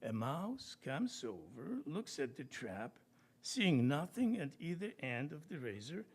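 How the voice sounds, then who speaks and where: An earnest, stubborn inventor, acted out by a man telling a joke makes his pitch. The voice is raised and insistent, explaining everything step by step as part of a live comic tale. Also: sing-song, measured pace, husky, heavily acted. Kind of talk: joke told at a live reading.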